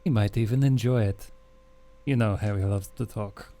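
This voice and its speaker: A man roleplaying a wry, calm male vampire survivor in a tabletop game, with a measured, understated delivery. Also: lowish voice, natural voice. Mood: probing, wry.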